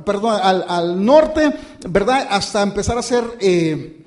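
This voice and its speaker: A male pastor giving a relaxed, conversational sermon, hesitating with many fillers and restarts and calling his listeners brothers. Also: high-pitched, a little slow. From born outside the United States. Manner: addresses listeners as brothers and "usted".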